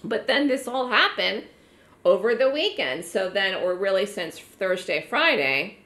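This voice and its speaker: A hoarse woman giving a live online trading lecture to her trading room, chatty and repeating words for emphasis. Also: medium pitch, lively intonation, unhurried, fairly bright tone, echoey room. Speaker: trading educator running a trading room.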